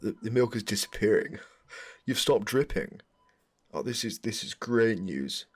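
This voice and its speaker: Low, husky man, hesitant and polite. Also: animated, unhurried. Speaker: roleplay actor playing an everyday shopper. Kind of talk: acted comedic POV roleplay.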